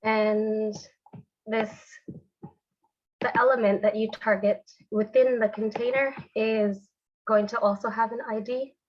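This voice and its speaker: Woman, congested cold voice, steady step-by-step tutorial, slightly muffled call audio. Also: mid-range voice, a little slow. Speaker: developer demonstrating a technique. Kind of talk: screen-share software tutorial.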